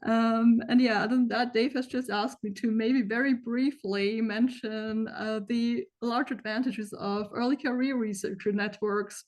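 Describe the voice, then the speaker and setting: A young woman who moderates an online talk gives a welcome and housekeeping notes over a video call, friendly and informal, often pausing on fillers. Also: fairly high pitch, a little mellow. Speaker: moderator introducing a speaker.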